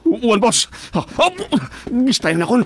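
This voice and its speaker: A man's voice: high-pitched, sing-song, measured pace, a bit bright, a little hiss or hum, full character voice. An actor with a Filipino accent playing an uneasy, deferential gang henchman in a radio drama, speaking hesitantly. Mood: alarmed.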